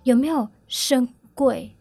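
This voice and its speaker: A woman's voice: high voice, slow pace, creaky, crowd noise. A young woman, hosting a podcast interview in an eager, giggly way. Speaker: podcast host. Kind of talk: casual interview chat.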